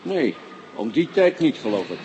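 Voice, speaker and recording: Radio drama constable, male actor: obliging, halting, a bit slow-witted, repeats words, medium pitch, slightly noisy recording. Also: sing-song, mellow, close to an everyday voice. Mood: hesitant. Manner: hesitant, repetitive, deferential. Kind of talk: radio play acting.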